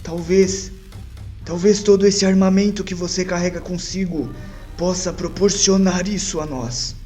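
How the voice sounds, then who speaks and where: Man acting a high-pitched formal professor. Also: steady tone, mellow. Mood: measured urgency. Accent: Brazilian. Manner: formal, deliberate wording. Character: male professor, formal and calculating, in tabletop horror. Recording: music playing behind the voice. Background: music.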